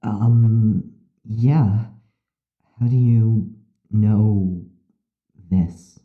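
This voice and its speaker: Slow, hesitant young man voiced by an actor. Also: lowish voice, steady tone, slightly rough, no echo, phone-quality sound, exaggerated voice. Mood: hesitant, puzzled. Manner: halting, unsure, trailing off. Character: Nikolai, hesitant, uneasy young male psychiatric patient. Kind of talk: audio drama acting, confiding.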